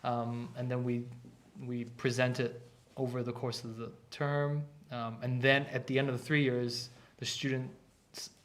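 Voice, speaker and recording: A man's voice: mid-range voice, little pitch movement, measured pace, few pauses, a bit bright. A man, an art instructor, chatting casually on a live stream and explaining things at length with many restarts. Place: art academy studio.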